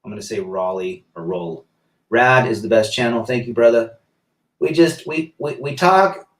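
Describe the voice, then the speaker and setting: A man's voice: medium pitch, normal speed, distant mic. A middle-aged man from Pennsylvania hosting a casual livestream, reading viewer comments aloud and answering them. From south-central Pennsylvania, US. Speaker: livestream host reading chat. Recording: echoey room.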